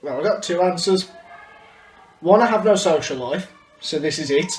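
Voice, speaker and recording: A man's voice: sits high, lively intonation, moderate pace, faint music behind. A man chatting casually in an interview as an amateur cast member. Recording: echoey room with faint noise.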